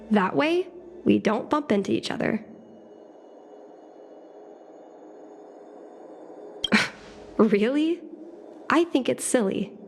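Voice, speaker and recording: A woman playing an earnest, candid young girl in a video game, sometimes hesitant in her speech. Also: fairly high pitch. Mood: candid, rueful. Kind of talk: scripted video game dialogue.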